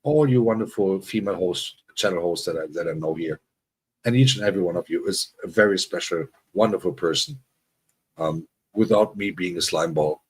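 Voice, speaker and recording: Husky man rambling on a livestream. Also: low voice, fairly quick. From Germany. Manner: rambling, restarts sentences, self-deprecating. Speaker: livestream host. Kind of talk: casual livestream chat.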